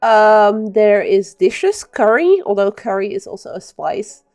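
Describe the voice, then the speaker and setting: A woman's voice: mid-range voice, big pitch swings, a little slow, a little mellow, faint music behind. A woman from the part of Belgium, chatting casually as a game streamer and rambling through explanations. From Belgium, Flemish-speaking part. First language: Dutch (Flemish). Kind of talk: casual live-stream commentary.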